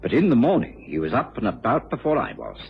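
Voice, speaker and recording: An actor playing a mild, loyal gentleman who narrates a detective radio drama with a measured, gently concerned manner. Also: medium pitch, wildly swooping pitch, gravelly, slight background noise. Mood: reflective narration. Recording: muffled old radio drama recording.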